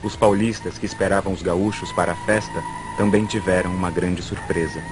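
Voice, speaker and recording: Documentary narrator: low-voiced Brazilian man, scripted read, loud music bed. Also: steady tone, a little fast. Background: prominent background music.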